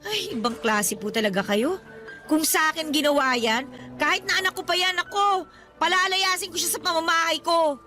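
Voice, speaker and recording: Protective, earnest younger female role for radio drama, high voice over soft music. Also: moderate pace. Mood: indignant. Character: caring, protective younger woman, indignant surrogate daughter. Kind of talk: Tagalog radio drama acting.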